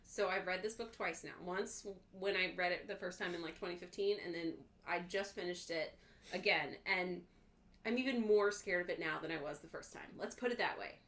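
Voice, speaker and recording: A woman's voice: fairly low pitch, fairly quick, fairly bright tone. A woman co-hosting a casual book club livestream, explaining her thoughts point by point.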